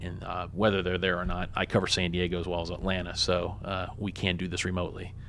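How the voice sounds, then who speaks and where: A man's voice: deep voice, completely flat delivery, fast, fairly bright tone, slight background noise. A man who works as a reporter, joking and loose in a radio interview, talking with many fillers. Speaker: guest, reporter for an online publication.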